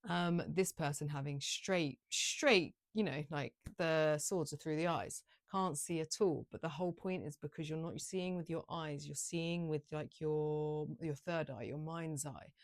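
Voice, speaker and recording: A woman giving a casual, improvised tarot reading, rambling along with gentle, encouraging spiritual guidance. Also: sits low, a little slow, few pauses, no echo, clean studio sound. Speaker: tarot card reader, channel host.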